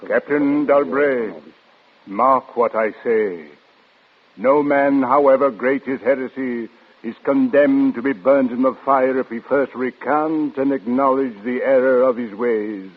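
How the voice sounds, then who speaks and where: An actor playing a stern Inquisition judge in an old radio drama, making solemn, formal pronouncements. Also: male voice, mid-range voice, a little slow, natural voice. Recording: dull, lo-fi old radio recording.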